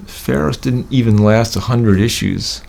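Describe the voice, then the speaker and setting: A man in a casual, halting chat about old records, as a guest record collector. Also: medium pitch, vocal fry, a little mellow.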